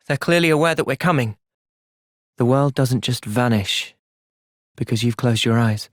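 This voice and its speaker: An actor playing a thoughtful, gentle but resolute young male soldier in a video game, with a British accent and a sincere, measured delivery. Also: medium pitch, no room sound, clean studio sound, close to an everyday voice. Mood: calm, earnest. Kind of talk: video game cutscene voice acting.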